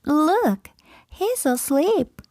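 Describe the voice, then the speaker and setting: A woman's voice: sits high, big pitch swings, extremely slow, warm tone, dry recording, studio quality, voice pushed up, full character voice. A woman voicing a confident, bossy elder princess in a storybook, airy and sing-song. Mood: smug.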